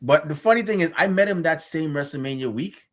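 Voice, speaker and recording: A man's voice: a little high, fairly quick. A chatty male interviewer. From Guyana. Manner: rambling, animated storytelling with acted quotes. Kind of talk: casual interview chat.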